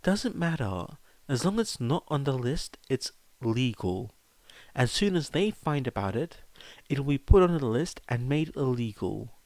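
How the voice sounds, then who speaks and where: Storybook role: bookish teen witch, read by a man, lifted pitch, earnest and stubborn, dry sound. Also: very animated, unhurried, a little mellow, no room sound. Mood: defiant, certain.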